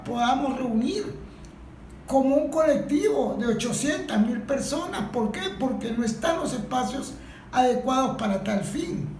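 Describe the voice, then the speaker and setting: Woman with a Venezuelan accent, speaking off the cuff and insistently at a group discussion, fairly high, faint noise behind. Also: a little mellow. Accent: Venezuelan Spanish. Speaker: industrial worker. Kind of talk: impromptu contribution to a group discussion. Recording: echoey room with faint background noise.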